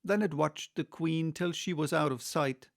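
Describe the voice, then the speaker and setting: A man's voice: fairly high pitch, moderate pace, gravelly. A man reading a classic children's story aloud as scripted audiobook narration. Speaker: audiobook reader.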